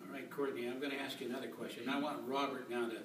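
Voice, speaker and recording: A man's voice: medium pitch, level tone, very fast, fairly bright tone. A man interviewing a guest, asking plain, friendly questions with short asides. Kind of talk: interview questions before a group. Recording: echoey hall, distant mic, faint noise.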